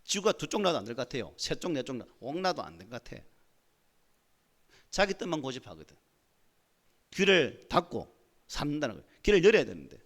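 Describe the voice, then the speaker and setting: A pastor preaching a Sunday sermon in a church with a Gyeongsang dialect, often asking the congregation rhetorical questions and building to emphatic points. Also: male voice, a little high, sing-song, a little fast, long pauses, a bit bright. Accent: Gyeongsang Korean dialect. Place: church sanctuary.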